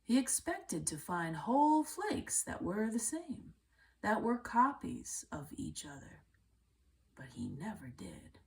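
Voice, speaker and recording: A woman reading a children's book aloud in a measured way, with deliberate phrasing and pauses. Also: medium pitch, huge pitch swings. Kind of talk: read-aloud storybook narration.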